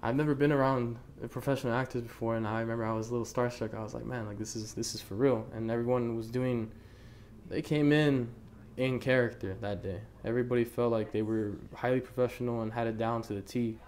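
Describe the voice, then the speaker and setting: A man's voice: mid-range voice, steady tone, fast talker, darkish tone, a little hiss or hum. A man who works as a camera operator, recalling a shoot in a casual, informal interview. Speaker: behind-the-scenes camera operator.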